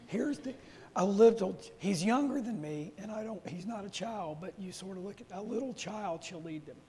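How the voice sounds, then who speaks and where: A man's voice: high-pitched, lively intonation, normal speed, mellow. A man preaching a conversational sermon to a church assembly, rambling through folksy personal stories with self-correcting asides. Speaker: lay preacher. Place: church meeting hall. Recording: reverberant hall with faint hum.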